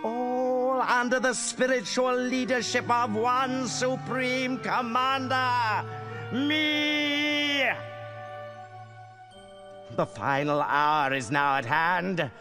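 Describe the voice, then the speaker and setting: Pompous, swooping villainous bishop. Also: male voice, very high voice, expressive, drawn-out words, exaggerated voice. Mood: exultant. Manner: pompous, theatrical, ornate speech. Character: grandiose scheming villainous bishop hungry for power. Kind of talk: theatrical video game villain acting. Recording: game dialogue over clear background music.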